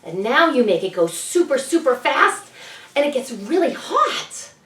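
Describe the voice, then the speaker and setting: A woman talks to young children as a storytime presenter, taking her time over each phrase. Her pitch moves up and down in a lively, teacherly way as she poses questions. She sounds set back from the microphone in a roomy space. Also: measured pace. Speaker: children's author presenting at a library story event. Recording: roomy echo, distant microphone.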